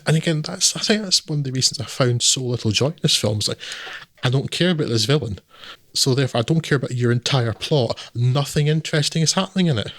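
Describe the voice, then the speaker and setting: A man's voice: medium pitch, quick pace, no echo. Rambling dismissive man, dry sound. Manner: rambling, dismissive, lots of fillers. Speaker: podcast co-host. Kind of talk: casual film review chat.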